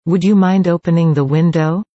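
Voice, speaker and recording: Mellow woman reading lesson lines. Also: on the low side, steady tone, moderate pace, no room sound, lo-fi sound. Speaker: English dialogue reader. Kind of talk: scripted language-lesson reading.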